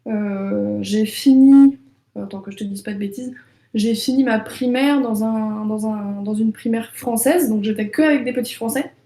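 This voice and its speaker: A woman's voice: on the high side, normal speed, warm tone, echoey room. A young French woman, an illustrator, chatting casually in an interview with many fillers and restarts. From France. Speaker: illustrator and author.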